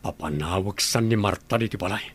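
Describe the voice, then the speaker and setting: A man playing a busy father and household boss, measured and questioning, in a radio drama. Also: deep voice, slightly rough. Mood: puzzled. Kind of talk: Ilocano radio drama acting.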